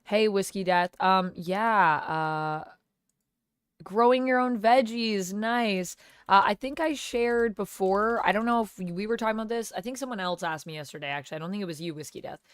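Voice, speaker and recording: A woman streamer under the weather with a cold, talking casually with a gravelly, smoky voice as she chats with viewers and thanks them by name. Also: medium pitch, moderate pace, dry recording. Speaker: live streamer. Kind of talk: casual live-stream chat, reading viewer comments. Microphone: gaming headset.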